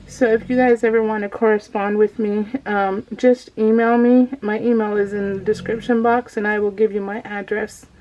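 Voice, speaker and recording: A woman's voice: medium pitch, level tone, normal speed, few pauses, a little mellow. A woman hosting a casual craft vlog, chatting off the cuff in a rambling, gushing way and often interrupting herself. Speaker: craft video host. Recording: dull lo-fi home recording, faint noise.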